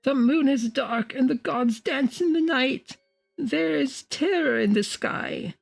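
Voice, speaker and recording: Woman acting a shrill, fanatical male prophet. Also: slow, vocal fry, soft background music, full character voice, low-quality recording. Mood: frenzied delight. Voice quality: shrill, high-strung. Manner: grandiose, archaic declamation. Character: Barzai, proud fanatical male prophet, exultant, wildly shouting. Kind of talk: dramatic audiobook character voice.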